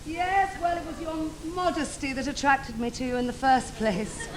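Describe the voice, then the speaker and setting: A man playing a boyish, cheeky pantomime hero with a broad, drawn-out theatrical delivery in a comic stage show. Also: very high-pitched, animated, some chatter behind. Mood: earnest. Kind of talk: adult pantomime stage comedy. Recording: very noisy theatre with loud audience.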